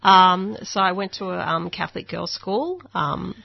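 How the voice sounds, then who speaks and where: A woman's voice: lowish voice, steady tone, unhurried, gravelly, no room sound, muffled recording. A woman in her forties with an Australian accent, a musician guest talking casually and hesitantly, with many ums and likes. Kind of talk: casual interview.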